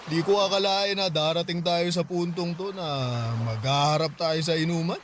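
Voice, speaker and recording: A man playing a drunk, heartbroken middle-aged uncle who muses slowly and weightily in a Filipino radio drama. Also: on the high side, steady tone, a bit bright, slight background noise, lightly acted. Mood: tipsy, easygoing.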